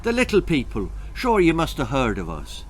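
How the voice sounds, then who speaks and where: A man's voice: a little high, huge pitch swings, normal speed, a little hiss or hum. A man voicing a tiny, cheery, forgetful old leprechaun with an Irish accent and a chatty, folksy manner. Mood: cheery, welcoming. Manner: chatty, folksy, repetitive greetings.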